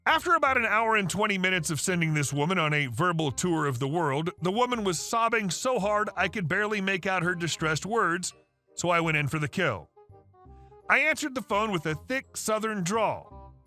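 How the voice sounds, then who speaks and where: A man narrates a first-person story in a steady, read-aloud manner. His voice sits high and sounds bright and forceful, with soft music playing quietly behind him. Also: moderate pace. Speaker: narrator of online stories. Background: faint background music.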